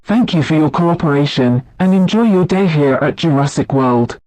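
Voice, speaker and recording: A man playing a theme park emergency announcer, reading scripted alerts in a formal, measured, impersonal manner. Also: a little high, animated, darkish tone, a little hiss or hum, lightly acted, low-quality recording.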